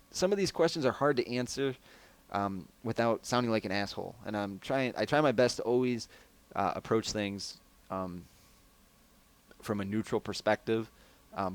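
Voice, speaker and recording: Low raspy-voiced man chatting. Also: normal speed. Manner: loose, rambling delivery. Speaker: podcast host. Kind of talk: casual podcast chat answering listener questions. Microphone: headset.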